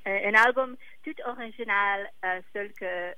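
Hesitant singer speaking a second language. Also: female voice, mid-range voice, unhurried, dull audio. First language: English. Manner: hesitant, searching for words.

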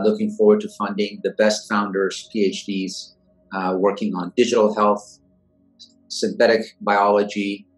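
A man in his forties, originally from Iran, answering interview questions in a measured, thoughtful way with many fillers. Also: lowish voice, rough recording. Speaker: guest, venture investor.

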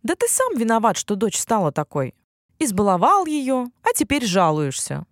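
A wise and stern unicorn from a fairy tale, voiced by a woman storyteller. It speaks formally, like a proud creature used to giving terms, with wide swings in pitch. The sound is dry and free of echo. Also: wildly swooping pitch. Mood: scolding. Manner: formal, measured, admonishing.

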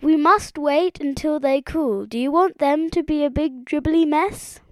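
A woman voicing a short, squat, boastful male frog with a pompous, excitable manner in a children's story. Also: sits high, a little slow, no room sound, pitched above the performer's own voice. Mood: bossy.

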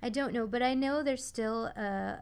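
Creaky-voiced woman giving informal advice. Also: on the high side, moderate pace. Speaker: expert guest, prenatal massage therapist. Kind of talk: conversational advice on a radio talk show.

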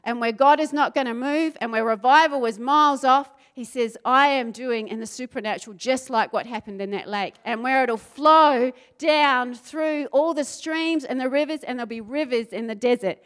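A woman's voice: high-pitched, moderate pace, hardly any pauses, fairly bright tone, clean tone. A woman with a New Zealand accent preaching a sermon, talking with the crowd and building to fervent passages. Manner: builds to fervent, rising intensity; asks the crowd questions, conversational asides.

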